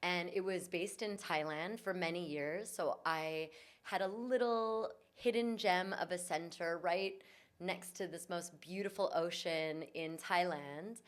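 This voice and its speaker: Woman guest, yoga and voice teacher; low-set voice, earnest reflective storytelling. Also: a little slow. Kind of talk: conversational interview. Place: in Bali.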